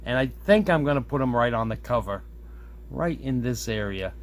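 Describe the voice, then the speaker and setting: Guy doing a casual how-to, thinking out loud, average pitch, bit of room noise. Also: moderate pace. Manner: chuckly, easygoing, thinking aloud. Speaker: hobbyist presenter showing a radio modification. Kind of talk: casual hands-on demo chat. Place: indoors at a workbench. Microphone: camera on a tripod nearby. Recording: steady background noise, room ambience.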